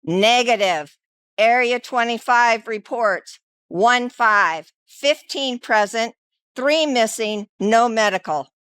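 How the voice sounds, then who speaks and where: A woman's voice: fairly high pitch, slow pace, a bit bright. A woman delivering scripted instructional narration as a training presenter.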